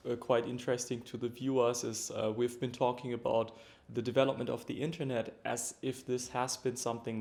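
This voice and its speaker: Hombre entrevistador de voz grave y rasposa. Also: male voice, medium pitch, a little mellow. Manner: hesitant, thoughtful questioning with fillers. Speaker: interviewer. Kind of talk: conversational interview.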